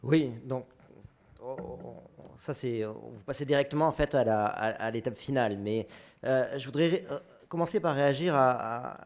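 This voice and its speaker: A man with an ordinary mid-range voice answers on a conference panel in a university lecture hall. He speaks in a measured, deliberate way. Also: mid-range voice, a little mellow.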